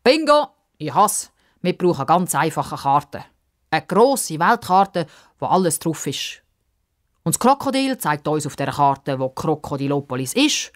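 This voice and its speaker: A woman's voice: sits low, big pitch swings, unhurried. A woman voicing a jovial, folksy old man in a children's story, given to eager exclamations. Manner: exclaiming, muttering to himself.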